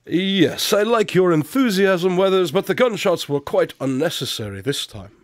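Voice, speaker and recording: A self-assured male detective played by an actor in a radio drama, with a fairly high voice and swooping intonation. He is precise and drily superior, recorded close with no room sound. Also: lively intonation, moderate pace, no echo. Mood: dry, assured.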